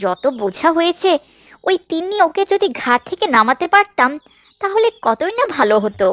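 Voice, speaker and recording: A woman's voice: very high voice, big pitch swings, moderate pace, no room sound. A woman playing a greedy, scheming cartoon stepmother who grumbles, scolds and bosses people in a melodramatic style. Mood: grumbling. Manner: sharp-tongued, grumbling, domineering. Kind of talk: melodramatic Bengali cartoon dubbing.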